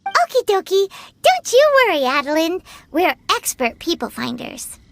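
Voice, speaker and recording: A woman voicing a small, cheerful, chatty fairy girl companion in a video game, squeaky and childlike. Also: wildly swooping pitch, moderate pace, a bit bright, a little hiss or hum, full character voice. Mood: cheerful, eager. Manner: perky, exclamatory. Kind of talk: animated game voice acting.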